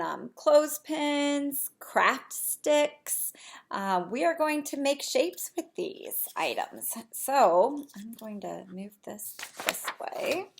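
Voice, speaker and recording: A woman's voice: mid-range voice, creaky. A woman preschool teacher leading young children through a simple lesson, explaining step by step and asking encouraging questions. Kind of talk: read-aloud and shape activity lesson for young children.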